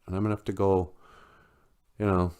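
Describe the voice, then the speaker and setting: A man's voice: deep voice, flat delivery, a little fast, darkish tone, clean tone, natural voice. A man voicing a naive, unsure little male teddy bear in a children's tale, hesitant and earnest. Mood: hesitant, glum.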